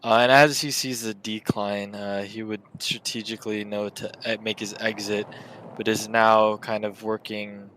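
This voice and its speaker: A man's voice: lowish voice, a bit bright, a little hiss or hum, poor audio. A man casually telling his character's story as a player in a tabletop game.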